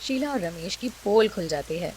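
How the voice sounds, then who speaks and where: A woman's voice: medium pitch, lively intonation, moderate pace, noisy background. A woman narrating a scripted Hindi cartoon story as the storyteller.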